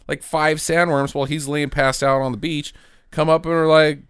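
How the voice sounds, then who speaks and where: A man in casual, profane conversation, recapping a movie with friends and acting out characters in quick jokey asides. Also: on the high side, level tone, fairly bright tone, clean tone, dry recording, studio quality.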